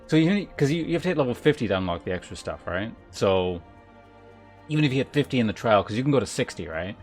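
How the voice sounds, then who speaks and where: A man livestreaming a video game, chatting casually and reading aloud, thinking out loud in a rambling way with wry asides. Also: medium pitch, sing-song, fast. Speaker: game streamer. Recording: music behind the voice.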